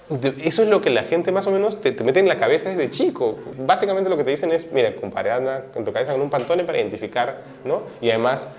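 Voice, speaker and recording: A Peruvian man with a Peruvian Spanish accent, explaining in a wry, conversational way. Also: mid-range voice. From Peru. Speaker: interviewee. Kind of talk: casual explanatory talk.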